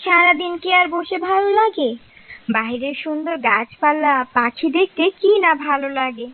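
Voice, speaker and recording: Squeaky cartoon housewife acted by a woman, grumbles to herself, super high, tinny narrow audio. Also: lively intonation, moderate pace. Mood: wary, musing. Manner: complaining, talks to herself. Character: grumbling, chatty housewife hounded by crows. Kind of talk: cartoon story monologue. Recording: narrow-band, phone-like sound.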